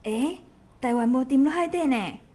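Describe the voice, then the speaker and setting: A woman's voice: sits high, expressive, moderate pace, dark tone. A woman playing a cheerful townswoman in a scripted folk-legend story.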